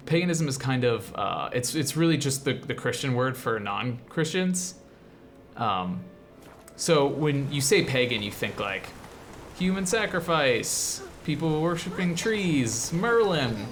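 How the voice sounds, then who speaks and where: A man's voice: mid-range voice, lively intonation, a little slow, fairly bright tone, a little hiss or hum. An American man from the Midwest hosting casual game commentary, rambling and explanatory with an enthusiastic manner. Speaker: show host, 3D artist.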